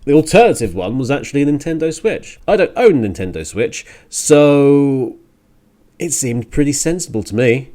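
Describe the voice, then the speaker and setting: A man's voice: mid-range voice, big pitch swings, normal speed, mellow. A man hosting a music radio show, rambling casually and thinking aloud with hesitant digressions.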